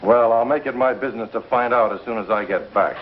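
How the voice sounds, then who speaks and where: A man's voice: medium pitch, fast talker, natural voice. An actor playing a firm, decisive, indignant frontier man in a 1950s television Western. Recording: dull old TV soundtrack, faint noise.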